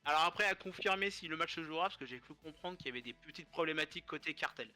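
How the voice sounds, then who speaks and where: A man calls an esports match live, his voice hoarse and his nose blocked from a cold. His bright, forceful tone sits a little high, and he jokes and wanders as he narrates the play. Faint music runs behind him. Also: quick pace. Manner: joking, rambling casual commentary. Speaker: esports caster.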